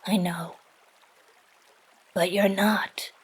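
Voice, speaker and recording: A woman playing an earnest, fiercely supportive woman in an audio drama, speaking with tender, emphatic reassurance in a husky, breathy voice. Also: mid-range voice, fairly even intonation, drawn-out words. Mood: firm, reassuring.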